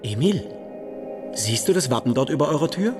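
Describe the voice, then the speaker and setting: Coaxing, mocking tempter, wildly swooping voice. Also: male voice, a little high, big pitch swings, normal speed, over music. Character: teasing, sinister young male tempter in a dream. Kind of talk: German radio play acting.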